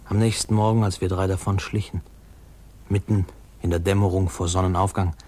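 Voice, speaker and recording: Low, husky, wistful narrator. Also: male voice, fairly even intonation, darkish tone. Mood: wistful, lyrical. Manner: reflective, measured storytelling. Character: wistful male narrator recalling his boyhood. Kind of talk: 1950s radio drama narration, literary.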